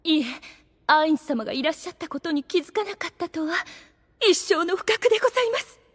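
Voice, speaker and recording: A woman's voice: very high-pitched, expressive, brisk. A woman playing a devoted, lovestruck female servant in an anime audio drama, speaking very politely and theatrically. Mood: humble, apologetic. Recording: music behind the voice.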